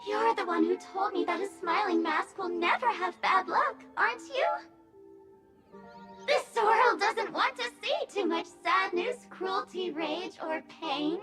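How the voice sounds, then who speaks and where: A woman's voice: very high voice, animated, a little slow. A woman voicing a forlorn, unhinged living mask of a maiden in a video game, melodramatic and pleading. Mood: forced cheer, then hurt, abandoned. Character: cast-off theatrical maiden mask, forlorn, unhinged, desperately smiling. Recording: loud music under the voice. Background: music.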